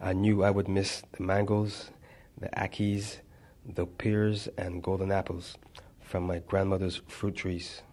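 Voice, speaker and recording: Low, flat-toned man reading. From Barbados. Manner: measured reading with small stumbles and restarts. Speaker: narrator. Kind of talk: reading a personal memoir aloud.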